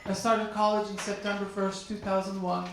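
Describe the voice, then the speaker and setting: A man in his forties from Turkey with a Turkish accent, doing stand-up comedy and chatting with the crowd in a lively, teasing way. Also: high-pitched, moderate pace, creaky. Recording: very echoey, noisy room.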